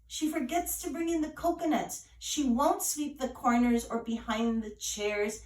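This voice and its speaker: A woman's voice: a little high, a little slow, slight background noise, pitched above the performer's own voice, lightly acted. A woman voicing an exasperated, scolding mother in a storytelling reading, with indignant, emphatic questioning. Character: exasperated scolding mother of a carefree island girl. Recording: roomy echo, distant from mic.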